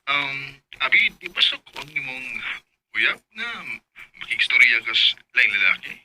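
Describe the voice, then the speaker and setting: A young Bisaya man courting hopefully in a radio drama. His voice is medium in pitch and strikingly bright and sharp. He comes across as earnest and tentative, with a Philippine Bisaya accent. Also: very animated, measured pace, exaggerated voice, low-quality recording. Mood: friendly, tentative.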